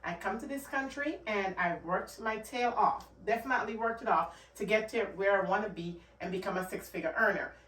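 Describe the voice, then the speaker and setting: A woman's voice: medium pitch, a little fast, few pauses, fairly bright tone, distant mic. A woman from Guyana with a Guyanese accent, a channel host giving earnest, emphatic money advice to camera. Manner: earnest, repeats points, emphatic. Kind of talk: casual talk-to-camera advice. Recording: echoey room, off the mic.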